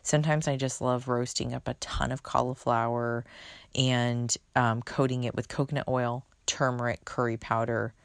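A woman's voice: very deep voice, level tone, normal speed, no room sound. A woman hosting her own podcast in a chatty, unfiltered way, with a soothing voice and casual swearing. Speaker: podcast host. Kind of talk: casual solo podcast chat.

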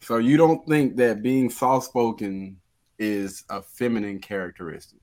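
Relaxed low-voiced man hosting a chat. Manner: relaxed, quick to laugh, asks questions. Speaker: host. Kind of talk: casual group discussion.

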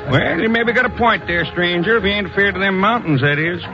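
An actor playing a chatty, folksy airfield hand from the American West, drawling in 1950s radio style. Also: male voice, high voice, brisk. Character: folksy rustic Western airfield hand, chatty and slow-witted. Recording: muffled 1950s radio recording with music.

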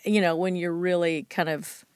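A woman's voice: lowish voice, little pitch movement, moderate pace, smooth, dry recording, clean studio sound. A woman chatting casually as an interview guest, telling anecdotes in an effusive, informal way and often trailing off mid-sentence.

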